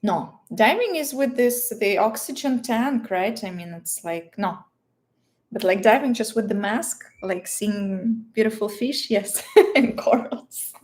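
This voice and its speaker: A woman's voice: medium pitch, animated, unhurried, darkish tone. A woman with a Russian accent, a native Russian speaker, chatting casually and playfully as she answers livestream questions, often searching for words. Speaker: livestream host.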